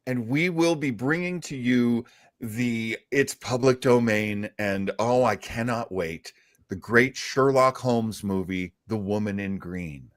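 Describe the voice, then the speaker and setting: A man's voice: medium pitch, slow pace. A man hosting a live web show in a casual, chatty style, effusive with his thanks and praise. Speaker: host of a live web show about old-time radio and film.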